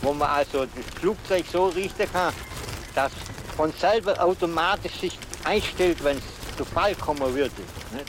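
An older man with a Swabian accent recalls things casually and haltingly, often ending phrases with a questioning tag. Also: fairly high pitch, background noise. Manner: halting, colloquial, ends phrases with questioning tags. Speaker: amateur inventor being interviewed. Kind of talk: casual spoken recollection. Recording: archive interview with guitar music over it. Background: prominent plucked guitar music.

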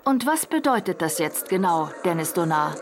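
An actress playing a brisk, probing radio news presenter who questions in a businesslike way within a radio drama. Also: female voice, on the low side, big pitch swings, noisy background. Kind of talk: radio news interview acting.

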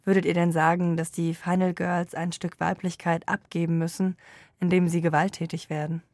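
A woman's voice: on the low side, flat delivery, moderate pace, darkish tone, dry recording. A woman interviewer putting composed, formally worded questions to her guests.

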